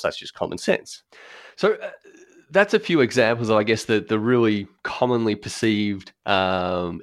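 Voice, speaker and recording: A man explaining accessible design in a relaxed podcast conversation, thoughtful, with frequent ums and restarts. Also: fairly low pitch, no room sound, studio quality. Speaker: guest expert on accessible design.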